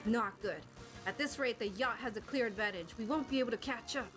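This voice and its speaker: Woman voicing a firm, lowered-voice fantasy adventurer. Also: fairly quick, over music, natural voice. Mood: concerned. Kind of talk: amateur game dubbing.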